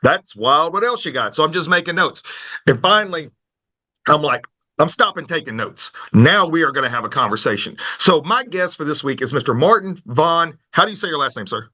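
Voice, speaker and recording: A man hosting a conversational podcast interview in a folksy, polite, animated manner. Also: fairly high pitch, fairly quick. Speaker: podcast host.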